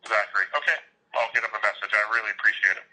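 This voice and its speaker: A man's voice: fairly low pitch, level tone, rapid-fire, sharp tone. A man taking a call over a telephone line, polite and businesslike. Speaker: call taker at a sheriff's office. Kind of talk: brisk phone conversation.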